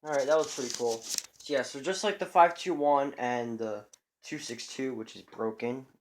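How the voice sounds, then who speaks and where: A man's voice: medium pitch, moderate pace, slight background noise, low-quality recording. A man casually rambles through a show-and-tell of his radios at home, full of fillers and restarts, recorded on a phone. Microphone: phone camera.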